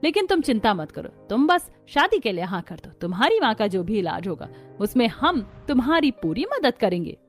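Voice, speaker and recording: A woman's voice: fairly high pitch, sing-song, moderate pace, few pauses. A woman playing a kindly middle-aged mother in a moral story, reassuring and persuasive. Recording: music under the voice.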